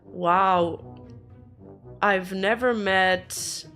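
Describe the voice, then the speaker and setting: Hoarse woman streamer, unhurried rambling chat, faint music underneath. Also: medium pitch, steady tone, fairly bright tone. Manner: chatty, rambling, easily laughing. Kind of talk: casual livestream chat with viewers.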